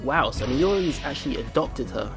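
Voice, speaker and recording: A man's voice: medium pitch, expressive, normal speed. A man hosting a let's play, reading visual novel narration aloud and adding informal, exclaiming reactions. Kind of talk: reading game narration aloud with casual commentary. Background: game music.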